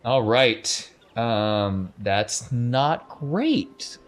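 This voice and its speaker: A man's voice: mid-range voice, expressive, drawn-out words. A man running a tabletop role-playing game as game master, encouraging and affirming his players. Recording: noticeable background noise.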